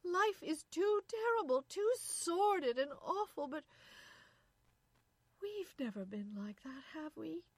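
A woman's voice: very high voice, big pitch swings, slow, no echo, pitched above the performer's own voice, heavily acted. A woman voicing an anguished, overwrought young wife in a story reading, with tearful, pleading delivery. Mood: desperate pleading.

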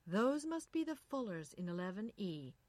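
A woman's voice: medium pitch, sing-song, normal speed, a little mellow, no room sound, close to an everyday voice. A woman voicing an anxious, curious wife in a story, speaking emphatically and insistently. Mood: fascinated. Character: anxious, curious middle-class wife, easily astonished.

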